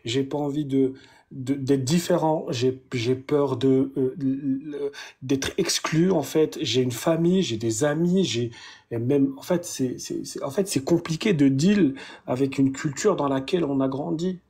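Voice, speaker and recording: Un homme d'une trentaine d'années, invité, qui parle de sa foi d'une voix hésitante, en cherchant ses mots et en répétant certains d'entre eux. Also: male voice, mid-range voice, warm tone, slightly rough. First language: Arabic. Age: 30s. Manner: hesitant, halting, repeats words. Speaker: guest. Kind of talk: emotional conversation about faith.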